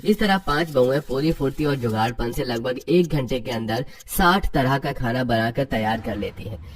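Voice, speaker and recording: A man's voice: medium pitch, moderate pace, recorded from a distance, a little hiss or hum. A man narrates a scripted story in a mid-range voice. He sounds set slightly back from the microphone, telling the tale as its narrator.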